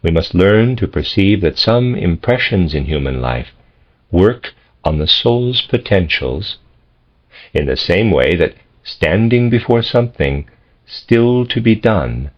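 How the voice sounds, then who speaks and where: A man reading a philosophical text as scripted narration, in measured, deliberate phrases with pauses. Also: low-pitched. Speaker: audiobook reader. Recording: dull, lo-fi audio.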